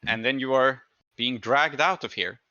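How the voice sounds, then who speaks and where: A man with a bright, forward voice narrates a tabletop roleplaying game as its game master. He weighs his rulings thoughtfully as he talks. The recording is a little dull and lo-fi. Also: mid-range voice, measured pace, no room sound.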